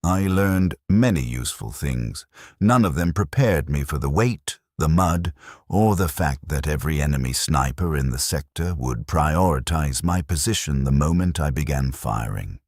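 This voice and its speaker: A man reading scripted first-person war fiction in a grave, measured manner with short clipped sentences. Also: extremely low pitch, expressive, a little mellow, no room sound, studio quality. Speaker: narrator of science fiction war stories.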